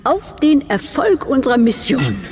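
A woman's voice: high-pitched, huge pitch swings, a little slow, darkish tone, a little hiss or hum, heavily acted. An actress playing a cool, wry woman on a desert mission in a sci-fi radio drama. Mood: knowing, smooth.

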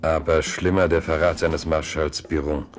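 An actor as the grave, knowing narrator of a historical radio play. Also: male voice, a bass voice, flat delivery, normal speed, over music. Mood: grave, foreboding.

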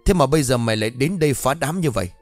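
A man voicing a spoiled, scheming young daughter who flatters and scolds. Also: moderate pace, no echo, soft background music, natural voice. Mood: scolding. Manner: sweet to her father, shrill when angry.

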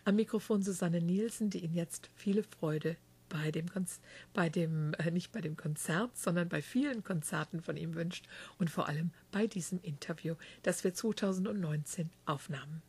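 A woman hosts a radio culture show, reading a long biography in a chatty way and correcting herself as she goes. Her voice is mellow and a little husky. Later she interviews her guest backstage in a dressing room, heard from some distance off the mic. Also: on the low side, normal speed. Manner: chatty, warm-hearted reading with small slips and self-corrections. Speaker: radio host.

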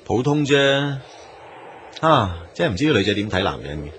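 A man's voice: mid-range voice, big pitch swings, moderate pace, fairly bright tone, slight background noise. A man acting as a wistful young man in a radio drama, speaking and narrating in Hong Kong Cantonese. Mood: wry, gentle.

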